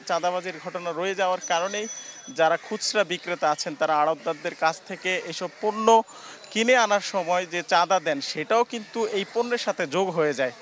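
A man's voice: high voice, a bit bright, slight background noise. A young man reporting live from an outdoor market into a handheld mic, explaining with frequent hesitations. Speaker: field reporter. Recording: faint outdoor market ambience.

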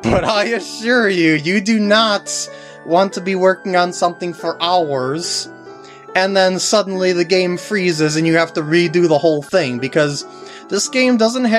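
A young man giving casual, unscripted walkthrough commentary in a halting way with wry asides. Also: sits high, soft background music.

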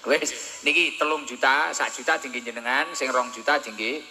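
A man's voice: medium pitch, steady tone, normal speed. A young man, hosting and preaching, who teases the crowd in a playful joking way. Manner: teasing, playful. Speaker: preacher and host. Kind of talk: joking religious talk. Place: outdoor stage. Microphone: headset.

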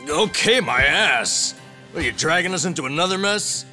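A grumbling, sceptical sidekick in middle age for a video game. His pitch swings widely, with music behind. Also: expressive, moderate pace, pushed and bright.

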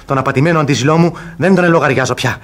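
An actor playing a gallant young suitor in a classic radio theatre comedy, earnest and declamatory. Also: male voice, on the high side, fairly bright tone, natural voice. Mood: defiant.

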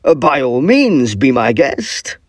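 Man playing an animated, genial Edwardian gentleman. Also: medium pitch, takes it slowly, no room sound, clean studio sound. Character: genial, excitable Edwardian gentleman art collector. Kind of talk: audio drama acting.

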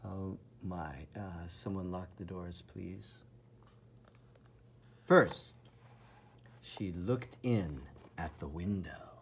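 A man reading a children's storybook aloud with enthusiastic, theatrical emphasis and dramatic pauses in a husky, breathy voice. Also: low voice, a little hiss or hum. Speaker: storybook reader. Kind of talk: children's read-aloud narration.

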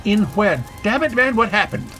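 An actor playing a troubled, brusque English gentleman husband in an old-time radio drama, terse and intense. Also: high voice, sing-song, moderate pace, soft background music. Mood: urgent.

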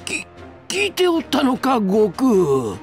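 Anime game role: excitable old god, very high voice, emphatic, music behind. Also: male voice, slow pace, exaggerated voice. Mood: hesitant, pleading. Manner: urgent, stammering, emphatic. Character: fussy elderly male deity, alarmed but kindly. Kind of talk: anime video game acting.

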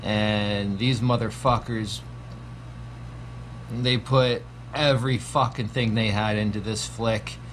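A man's voice: on the low side, steady tone, slow pace, slight background noise. A man in his thirties giving a casual, profanity-laced horror movie review from a small home room, with lots of ums. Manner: laid-back, swears constantly, frequent ums.

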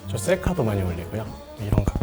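A man's voice: fairly low pitch, big pitch swings, fast, loud music behind the voice. Nervous, playful young man. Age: 20s. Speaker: guest.

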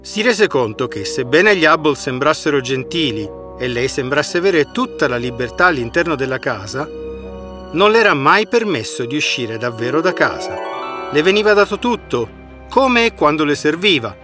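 A man with a fairly high, animated voice narrates a fairy tale. His delivery is expressive and scripted, with clear music playing behind him. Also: moderate pace. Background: background music.

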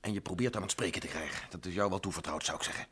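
An actor playing a stubborn, disgraced general under house arrest in an old radio play, firm and measured. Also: male voice, low-pitched, fairly bright tone, breathy edge, exaggerated voice. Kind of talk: 1960s radio play acting.

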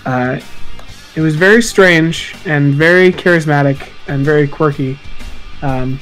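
A man's voice: a little high, measured pace, fairly bright tone, poor audio. A man talking casually and loosely about video games in a group podcast, rambling and swearing as he goes. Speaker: podcast panelist. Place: online group voice chat. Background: guitar music.

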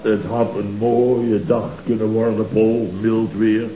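An actor playing a long-winded, aggrieved office boss in an old comedy radio play, theatrical and pompous. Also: male voice, on the low side, little pitch movement, slow, mellow, a little hiss or hum. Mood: martyred, sarcastic. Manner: theatrical, pompous, repeating his listener's name. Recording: old roomy, muffled radio recording.

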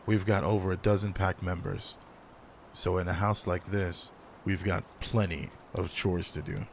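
A man playing a gruff but caring werewolf pack alpha in an intimate roleplay audio drama, speaking in a low-key, casual, matter-of-fact way. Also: flat delivery, a little slow. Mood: calm, welcoming. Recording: noticeable background noise.

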